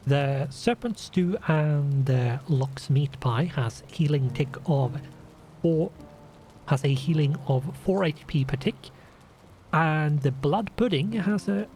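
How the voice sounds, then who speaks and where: Slow, sniffly man explaining his game. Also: on the high side, a little mellow. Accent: Scandinavian. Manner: slow, deliberate, with restarts and fillers. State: sniffly from a runny nose. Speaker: gaming channel host. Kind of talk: casual let's play commentary.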